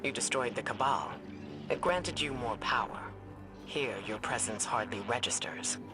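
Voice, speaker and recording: A composed and resolute female mentor from a video game. She speaks gravely and deliberately, like a cautious scholar who commands spies, with music playing clearly behind her. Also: rumbling low. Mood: grave, explanatory. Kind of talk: scripted video game dialogue. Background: background music.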